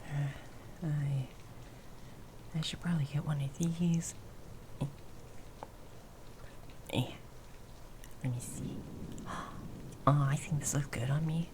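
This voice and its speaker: A woman voicing a tiny timid mouse person in an improvised ASMR roleplay, soft and breathy, stammering nervously and often slipping into a whisper. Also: deep voice, fairly even intonation. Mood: hushed, unsure. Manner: nervous stammering, halting and repeating words. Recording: faint background noise with rain ambience. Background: rain sounds.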